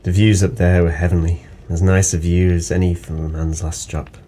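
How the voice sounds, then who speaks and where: A very low voice belongs to a guilt-ridden, hard-drinking ex-sailor. He speaks in bleak, theatrical, poetic phrases, as in a radio play. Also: flat delivery, normal speed, warm tone, slightly rough. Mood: bitter, resigned. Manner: brooding, poetic, theatrical monologue. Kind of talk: audio drama acting.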